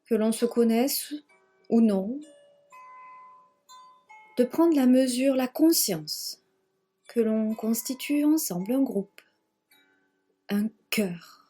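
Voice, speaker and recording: A woman leading a guided yoga nidra relaxation in a gentle, measured manner, leaving long pauses and repeating phrases. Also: on the high side, breathy edge, soft background music. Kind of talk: guided meditation, slow spoken relaxation.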